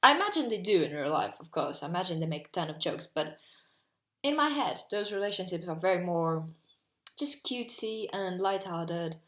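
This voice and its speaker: A woman's voice: lowish voice, expressive, normal speed, fairly bright tone, roomy sound. A 19-year-old woman from Portugal with a Portuguese accent, chatting casually and rambling to camera from her bedroom, full of "like". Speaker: vlogger.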